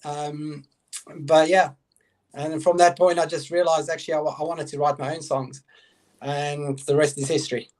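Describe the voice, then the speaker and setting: A man's voice: a little high, level tone, moderate pace, darkish tone, low-quality recording. A man, a guitarist and songwriter, telling his story hesitantly with many "um"s in a casual podcast chat. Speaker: band guitarist and songwriter, guest.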